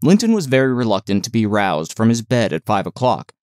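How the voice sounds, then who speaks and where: Expressive male audiobook narrator, animated pitch. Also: a little fast, dry recording, clean studio sound. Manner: expressive storytelling. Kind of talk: scripted literary narration.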